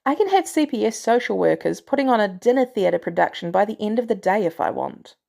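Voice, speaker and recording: A woman's voice: mid-range voice, sing-song, fairly quick, gravelly, warm tone. A woman voicing a brusque, commanding female agency director in an audiobook story, blunt and sardonic with an air of authority. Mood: boastful.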